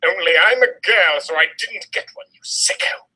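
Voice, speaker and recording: Guy doing an over-the-top dramatic story reading, high voice, swoops all over. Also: expressive, moderate pace, pushed and bright. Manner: theatrical, exaggerated emphasis. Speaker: reader of fan fiction.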